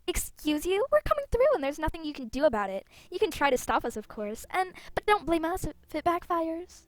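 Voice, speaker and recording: A woman's voice: extremely high pitch, sing-song, brisk, no echo. A woman voicing a haughty, taunting young female villain in a fantasy game.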